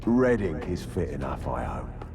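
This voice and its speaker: A gravelly, very deep sadistic killer. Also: male voice, very animated, over music, exaggerated voice. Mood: sinister relish. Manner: slow, theatrical, menacing. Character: sadistic male serial killer gloating in his letter. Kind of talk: dramatic letter reading in a game cutscene.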